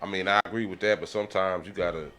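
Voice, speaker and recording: A man's voice: sits low, steady tone, quick pace, over a noisy crowd. A man on a podcast panel chatting casually, repeating himself for emphasis. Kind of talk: casual chat.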